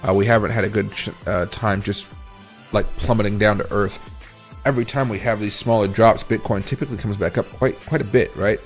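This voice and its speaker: A tired man with a raspy voice hosting a casual crypto livestream, thinking aloud as he reads charts and answers chat. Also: lowish voice, fairly even intonation, fairly quick, soft background music. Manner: rambling, thinks aloud while scrolling charts and reading chat.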